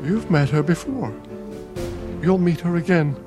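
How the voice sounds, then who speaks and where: A long-suffering older husband in a radio drama. His voice ranges widely in pitch as he placates and pleads, with prominent music behind him. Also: animated, measured pace. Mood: aching, tender. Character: weary, patient older husband caring for confused wife. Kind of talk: radio play acting.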